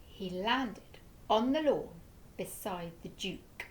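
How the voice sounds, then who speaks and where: A woman teacher reading a children's story aloud with slow, expressive storytelling. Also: mid-range voice, a little hiss or hum.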